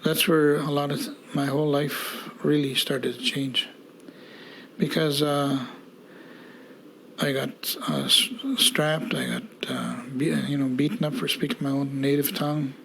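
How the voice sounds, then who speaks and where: A man's voice: fairly high pitch, measured pace, gravelly, fairly bright tone, slight background noise, rough recording. A man in his sixties giving plainspoken interview testimony, with fillers. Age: 60s. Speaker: residential school survivor.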